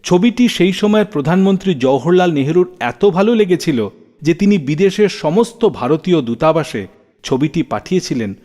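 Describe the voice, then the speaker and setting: A man's voice: sits high, expressive, a little fast, warm tone. A man hosting a music radio show, narrating scripted stories in a storytelling style. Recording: long reverb, music underneath. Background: faint background music.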